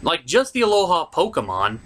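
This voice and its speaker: A man's voice: a little high, huge pitch swings, moderate pace, fairly bright tone. A man hosting a top 10 countdown, giving opinionated video game commentary in an informal, emphatic way. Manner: informal, emphatic, with mild swearing.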